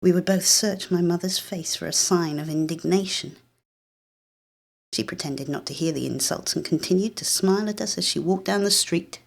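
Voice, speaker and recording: A British actress narrates literary fiction in the first person. Her voice sits low, and she tells the story with measured, expressive delivery and a sense of drama. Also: vocal fry. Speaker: actress reading a short story.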